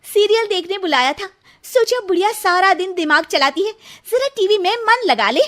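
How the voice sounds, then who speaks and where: A woman's voice: very high voice, animated, moderate pace, fairly bright tone. A woman playing a feisty, sarcastic young daughter-in-law in a cartoon, argumentative and theatrical. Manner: theatrical, argumentative back-talk. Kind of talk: exaggerated Hindi cartoon family drama.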